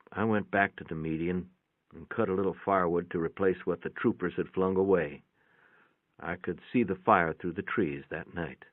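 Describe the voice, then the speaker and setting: Low-voiced man reading, thin phone-like sound. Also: dry recording. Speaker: story reader. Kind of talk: dramatic reading of a short story, first-person narration. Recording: thin band-limited phone-like sound, dry.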